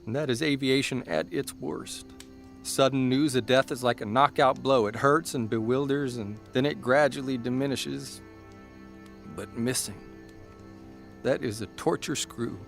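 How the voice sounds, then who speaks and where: Sombre aviation columnist: mid-pitched, plainspoken, measured narration for a radio drama, faint music underneath. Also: male voice, vocal fry. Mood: grave.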